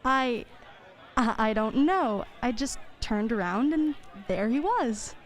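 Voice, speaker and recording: A woman playing a brisk, high-voiced working mother. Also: expressive, some chatter behind. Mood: dry. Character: brisk, practical working mother, store executive. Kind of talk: student radio play acting.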